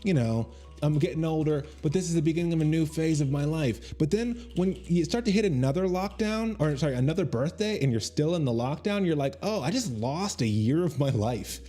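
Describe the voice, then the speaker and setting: A man in his thirties talks loosely to his livestream viewers, joking at his own expense and drifting from one tangent to the next. His voice is mellow and relaxed, in a small home room, close to a boom mic, with faint music underneath. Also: a little fast. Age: 30s. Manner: rambling, self-deprecating and joking, reacting to chat. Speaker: comedian streaming on Twitch. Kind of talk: casual livestream chat with viewers. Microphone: studio mic on boom arm.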